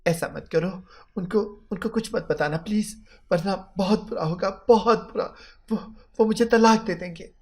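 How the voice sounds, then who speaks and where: An anxious older mother-in-law played by a male storyteller stammers and repeats herself as she pleads. The pitch sits a little above his usual narration, in a dramatic storybook manner. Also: normal speed, few pauses, a little mellow. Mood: tearful pleading.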